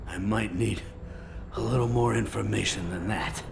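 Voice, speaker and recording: An actor playing a sleazy, smug criminal fixer in a video game, gravelly and sneering. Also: male voice, lowish voice, normal speed, noisy background. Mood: smug defiance. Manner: sneering, sarcastic. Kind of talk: video game dramatic dialogue.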